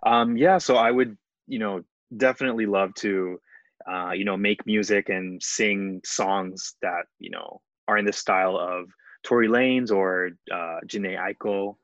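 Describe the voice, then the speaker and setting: A man's voice: lowish voice, steady tone, measured pace, fairly bright tone, no echo, highs cut off. A young man chatting casually as a guest, speaking hesitantly with many fillers and frequent laughs. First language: not Japanese. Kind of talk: casual chat.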